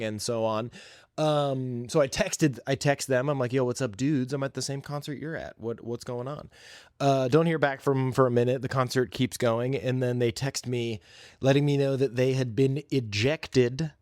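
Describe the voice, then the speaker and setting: A man's voice: medium pitch, dry recording, studio quality. A man hosting a solo podcast, talking in a casual, rambling, slangy and conversational way. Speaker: podcast host.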